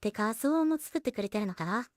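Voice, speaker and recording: A woman with a fairly high voice talks casually through her work on screen. She thinks aloud in short, trailing remarks, as if half to herself. The sound is dry, with little room around the voice. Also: expressive, a little fast, a little mellow, dry recording. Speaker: solo animation creator and streamer. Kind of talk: casual work-along commentary, muttering to self.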